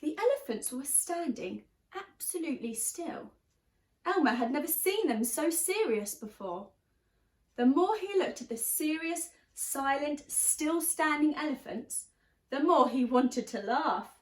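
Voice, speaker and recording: High pitched lady teacher doing storytime for little kids, expressive, stresses words, bit echoey. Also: a little slow. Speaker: teacher reading a story to young children. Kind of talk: children's storybook read-aloud. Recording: roomy, echoey sound.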